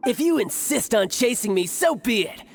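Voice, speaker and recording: A woman's voice: fairly high pitch, big pitch swings, moderate pace, breathy edge, heavily acted. A woman playing a smug thief on the run in an anime-style game, mocking and condescending.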